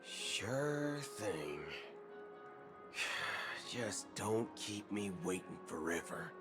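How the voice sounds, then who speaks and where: A brash male adventurer for a video game, impatient and wry. The voice sits fairly low but swings widely, at an unhurried pace, over clear background music. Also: big pitch swings, full character voice. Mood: irritated. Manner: informal, pushy, wry. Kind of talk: scripted video game dialogue. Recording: prominent game music behind voice.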